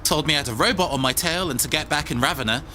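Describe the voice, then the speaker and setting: A man's voice: a little high, rapid-fire, bright, forceful tone, a little hiss or hum. An actor playing a mild-mannered, slightly awkward tech guy in a science fiction audio drama, chatty but hesitant. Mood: suspicious, aggrieved.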